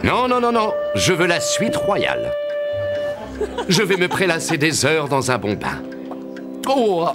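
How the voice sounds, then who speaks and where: A man voicing a cheeky, boastful soldier in an animated fairy tale, with a theatrical, exclamatory and wry delivery. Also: on the high side, takes it slowly, long pauses, exaggerated voice. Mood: smug, carefree. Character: cheeky, boastful soldier, comically excitable adventurer. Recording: loud music under the voice.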